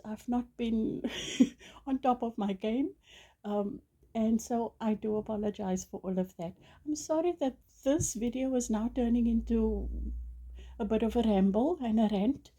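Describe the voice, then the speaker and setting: Dark-voiced chatty woman crafter. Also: a little high. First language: Afrikaans. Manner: chatty, apologetic, many ums and restarts. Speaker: crochet teacher. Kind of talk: casual tutorial talk.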